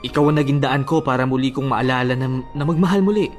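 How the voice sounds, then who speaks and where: A man's voice: medium pitch, fast talker, faint music behind, natural voice. An actor playing a devoted, persistent male suitor in a Filipino radio romance drama, earnest and coaxing, with a Filipino accent. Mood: tender.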